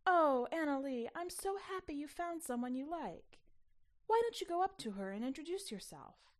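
A woman's voice: high voice, sing-song, moderate pace, pitched above the performer's own voice. A woman voicing a well-meaning, coaxing mother who instructs and cajoles her child in a story reading. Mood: delighted.

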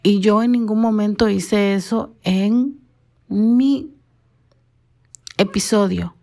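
A woman from the Dominican Republic hosts her own podcast, speaking unhurriedly on her own. Her diction is careful and formal, polished by training in broadcasting, and her pitch sits in the ordinary middle range for a woman. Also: takes it slowly, gravelly, warm tone. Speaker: podcast host. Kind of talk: solo podcast monologue.

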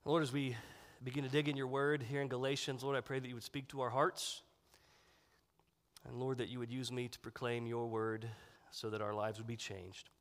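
A man's voice: mid-range voice, level tone, normal speed. A man preaching a sermon as pastor, sounding congested and nasal from a sinus infection, in a conversational way with fillers. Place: church sanctuary. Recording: long hall reverb.

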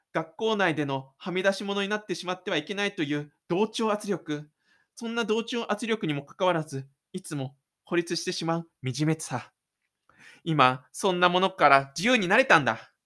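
A man voicing a shy, stubborn high school boy in an audio drama, thinking aloud breathlessly and excitedly as he runs away from school. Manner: breathless, exclaiming inner monologue.

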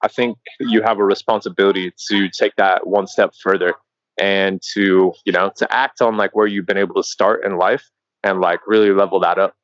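A man's voice: low-pitched, fairly even intonation, moderate pace, no echo, lo-fi sound. A man in his early thirties from Colorado, a podcast guest chatting casually in a laid-back way, full of fillers and restarts and calling the host "man". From Colorado, USA. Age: early 30s. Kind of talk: casual interview chat.

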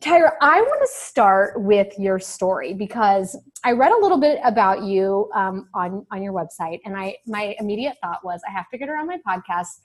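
A woman's voice: mid-range voice, very animated, moderate pace, hardly any pauses. Host: woman from Kansas, chatty and gushing, friendly interview lead. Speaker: podcast host. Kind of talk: casual interview hosting.

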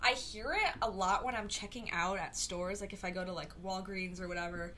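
A woman's voice: medium pitch, fairly quick, bright, forceful tone. Young woman host, solo podcast, loose rambly delivery full of fillers, faint background noise. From Chicago area. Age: young adult. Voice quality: rambling with many fillers and tangents. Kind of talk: casual solo podcast chat.

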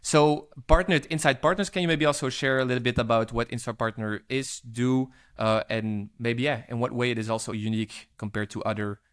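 Halting, mid-pitched male interviewer. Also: steady tone, a bit bright, clean tone. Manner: hesitant, halting phrasing with many fillers. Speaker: podcast interviewer. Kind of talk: casual interview questions.

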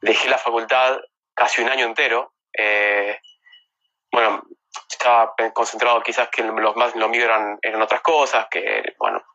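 A man's voice: medium pitch, little pitch movement, moderate pace, pushed and bright, muffled recording. An Argentine man in his thirties chatting casually as an interview guest, rambling and correcting himself as he goes. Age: 30s. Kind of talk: casual chat. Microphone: phone with earphones on a livestream.

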